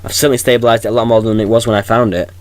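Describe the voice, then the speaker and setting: A man's voice: fairly low pitch, flat delivery, very fast, dry recording, lightly acted. A man playing a flippant, eccentric time-travelling hero in an audio drama, glib and insistent.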